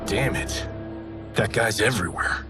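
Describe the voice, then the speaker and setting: An actor playing a cocky, wisecracking action hero in a video game, flippant and colloquial. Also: male voice, fairly low pitch, measured pace, loud music behind the voice, close to an everyday voice. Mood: wry. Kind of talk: cinematic video game acting.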